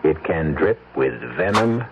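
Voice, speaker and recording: A man with a low voice and richly varied intonation narrates a radio mystery. His delivery is theatrical, measured and ominous, on a muffled vintage recording with music behind. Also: expressive, noisy background. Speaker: host and narrator. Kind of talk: dramatic scripted radio narration.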